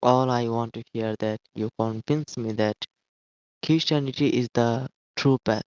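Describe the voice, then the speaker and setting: A man from Bangladesh, a native Bengali speaker, calling in. His voice stays level and monotone, and he sounds short and impatient. It comes through a dull, muffled line with no room sound. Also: medium pitch, a little slow, clean tone, no room sound. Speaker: caller. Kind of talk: call-in debate. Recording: muffled dry call line.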